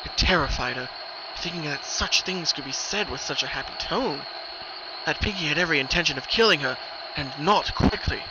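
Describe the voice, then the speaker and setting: A man's voice: normal speed, lo-fi sound. A man reading a scripted horror story as a dramatic narrator. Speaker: narrator of horror fan fiction. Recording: noisy recording, rumbling ambient background.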